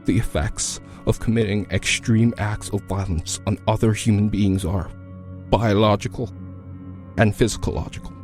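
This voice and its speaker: A man's voice: lowish voice, fairly even intonation, normal speed, darkish tone, soft background music, natural voice. A man performing a dramatic monologue as a hardened, menacing military veteran, controlled and intense. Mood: controlled menace.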